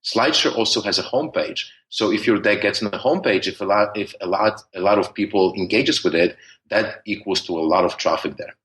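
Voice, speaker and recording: A man's voice: on the low side, a little fast, few pauses, a bit bright, rough recording. A man with a thick Polish accent, talking at length as a podcast guest, with many restarts and fillers. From Poland. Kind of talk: conversational interview.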